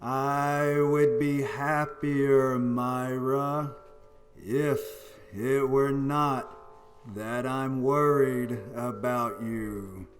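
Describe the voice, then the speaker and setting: An actor playing the ghost of a dead husband in a 1940s-style radio play, speaking in a slow, hollow, intoned way. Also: male voice, mid-range voice, steady tone. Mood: eerie solemn. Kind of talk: 1940s radio drama acting. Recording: music playing behind.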